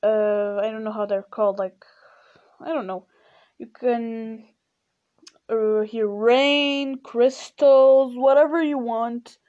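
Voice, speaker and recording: Sleepy portuguese teen girl just chatting, kinda high voice, talks real slow, lots of "you know". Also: steady tone, a little mellow, lo-fi sound. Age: teens. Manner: rambling, full of "you know" and "like". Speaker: podcast host. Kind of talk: casual personal chat.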